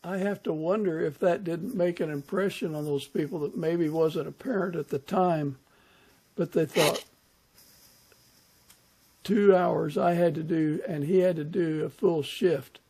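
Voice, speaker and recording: A male interviewer with a notably high, gravelly voice. The tone is muted and husky rather than bright. He talks conversationally, reflecting on what he hears and encouraging the other speaker. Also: steady tone, a little slow. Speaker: podcast host, interviewer.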